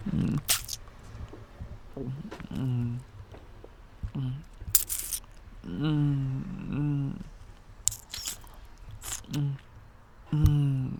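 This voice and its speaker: A woman's voice: sits low, mellow, a little hiss or hum. A woman voicing a teasing, menacing, sweet-talking vampire trucker with a Southern US accent, in an intimate ASMR roleplay. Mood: hungry, savoring. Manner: slow, teasing drawl with pet names and chuckles.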